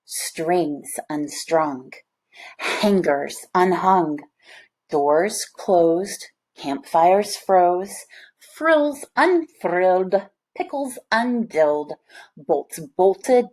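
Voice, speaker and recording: A woman's voice: lowish voice, low-quality recording. A woman reading a children's picture book aloud, expressively, with chatty asides to young listeners. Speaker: storybook reader.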